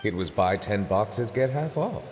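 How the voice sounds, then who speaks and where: An actor playing a stately, dry-humored male judge in a video game, speaking in a formal, precise, measured way. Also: on the low side, lively intonation, a little mellow, a little hiss or hum. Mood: composed.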